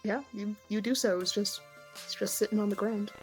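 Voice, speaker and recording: A woman's voice: mid-range voice, steady tone, normal speed, soft background music. A woman running a tabletop game as game master, narrating in an informal, easygoing way.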